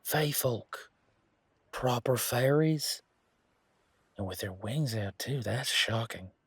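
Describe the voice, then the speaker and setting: A man acts out a towering, easygoing werewolf bodyguard with country roots. His voice is low and rough-edged, recorded dry and close. He talks informally and teasingly, with folksy phrasing and lingering hesitations, as in an intimate roleplay drama. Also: dry recording. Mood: amazed. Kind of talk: roleplay audio drama, one-sided conversation.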